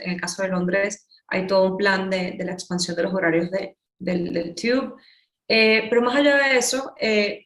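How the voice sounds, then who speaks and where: A woman from Venezuela with a Venezuelan accent gives articulate, explanatory interview answers, building her points with examples. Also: mid-range voice. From Caracas, Venezuela. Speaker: interviewee, urban nightlife consultant. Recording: roomy, echoing room sound.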